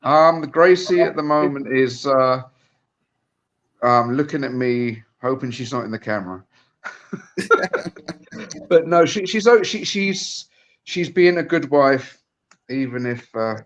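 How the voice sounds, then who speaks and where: A man's voice: mid-range voice, lively intonation. Man chatting on muffled phone audio. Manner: halting, with many fillers and restarts. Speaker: watch-along guest. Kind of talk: casual chat over video call.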